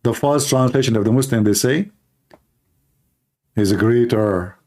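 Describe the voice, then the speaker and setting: A man who grew up in the Middle East speaks with the accent of a native Arabic speaker. His voice is dark and relaxed, at an ordinary male pitch. He talks off the cuff to live stream viewers in a blunt, direct way. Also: measured pace. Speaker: live stream host, religious apologist. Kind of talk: informal live stream talk.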